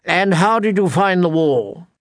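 Haughty young knight for fantasy storybook, read by a man: lightly raised pitch, bored, condescending, dry sound. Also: expressive, unhurried, no echo. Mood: probing. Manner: condescending, casual.

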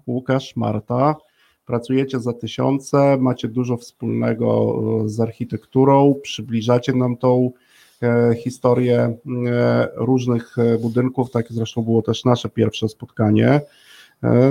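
A man's voice: mid-range voice, gravelly, a little mellow, husky. A man hosting a casual interview in a chatty, rambling, hesitant way. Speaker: podcast host.

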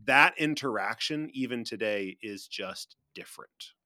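A man's voice: on the low side, lively intonation, unhurried, pushed and bright, dry recording, clean studio sound. A middle-aged man in a casual podcast discussion, co-hosting with an earnest, reflective manner that carefully qualifies his points. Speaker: podcast co-host.

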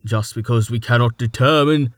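An actor playing a calm, scholarly man who speaks slowly with restrained anger. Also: medium pitch, dry recording, clean studio sound, natural voice. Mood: stern. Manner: measured, slow, with restrained anger.

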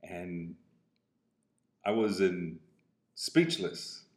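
A man in his sixties from Cuba with a Cuban Spanish accent, a business coach telling a personal story in an earnest way, slowing and softening at emotional moments. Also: low voice, steady tone. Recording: roomy echo.

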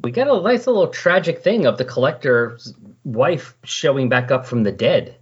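Husky-voiced man chatting about comics. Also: medium pitch, lively intonation, moderate pace. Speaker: comics podcast host. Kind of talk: casual chat about comic books. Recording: dull, lo-fi recording.

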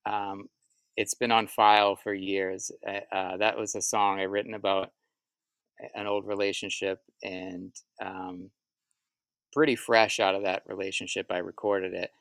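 A man with a low voice and a gravelly fry at the edges talks through his stories as an interview guest. He is reflective and halting, circling back over his words. The sound is very dry and close. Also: fairly bright tone, no room sound. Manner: halting, reflective, self-repeating. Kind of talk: casual interview answers, storytelling.

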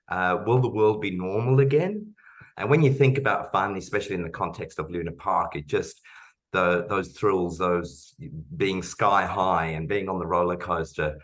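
A man with a very deep, low-pitched voice talks eagerly as an interview guest. He often restarts his sentences. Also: fairly bright tone. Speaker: jingle writer, guest. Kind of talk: casual interview chat.

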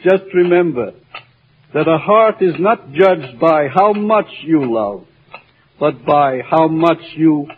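An actor playing a blustering, kindly old humbug wizard in pompous, long-winded style for an old radio drama. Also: male voice, on the high side, lively intonation, slow pace. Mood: gentle, regretful. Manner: pompous, long-winded, mock-formal oratory. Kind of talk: 1950s-or-earlier radio drama acting. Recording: old muffled radio recording with music behind.

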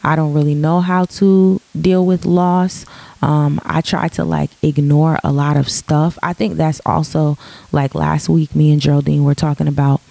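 Woman with a deep, gravelly voice. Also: level tone, normal speed, mellow, no room sound. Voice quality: deep, raspy morning voice. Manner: reflective, earnest, many ums and restarts. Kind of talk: casual solo podcast monologue.

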